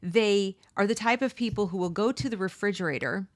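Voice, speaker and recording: A middle-aged woman hosting a read-along book discussion, giving opinionated commentary and asking rhetorical questions. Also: brisk. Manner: mocks others with high exaggerated voices.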